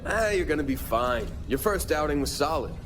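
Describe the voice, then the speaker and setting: A man's voice: fairly high pitch, lively intonation, moderate pace, over music, natural voice. An actor playing an easygoing but jittery male miner sidekick in a video game, talking in casual, sarcastic asides. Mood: joking, easygoing.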